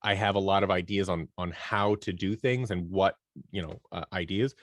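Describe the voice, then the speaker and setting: A man with a lowish voice talks as a podcast guest. He explains in a conversational way, slipping into imagined dialogue to make his points. The sound is dry, with very little room in it. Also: moderate pace, dry recording. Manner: animated storytelling, acts out imagined dialogue. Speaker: guest, creative company owner and director. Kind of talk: conversational podcast interview answers.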